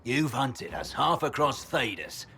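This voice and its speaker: An actor playing a bitter, defiant warrior general, a proud and menacing villain in a video game. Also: male voice, mid-range voice, big pitch swings, measured pace, vocal fry, sharp tone, a little hiss or hum, heavily acted.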